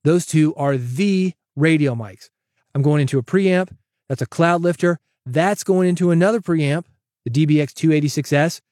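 A man hosting a solo podcast, coaching listeners in an energetic, direct way on a broadcast mic. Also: on the high side, normal speed, no room sound, studio quality. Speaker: podcast host. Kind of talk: solo podcast advice with sponsor read. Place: home studio, processed dry voice. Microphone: studio broadcast dynamic mic.

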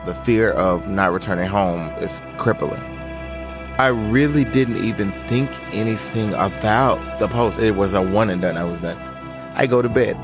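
A man from Nashville telling his own story in the first person for a radio documentary. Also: sits low. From Nashville, United States. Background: music bed.

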